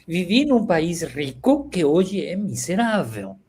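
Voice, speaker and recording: Venezuelan man, halting reflective guest. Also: high-pitched, big pitch swings, slight background noise. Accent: Venezuelan Spanish. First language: Spanish. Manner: warm, halting, frequent filler "este". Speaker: interview guest. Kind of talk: reflective conversational interview.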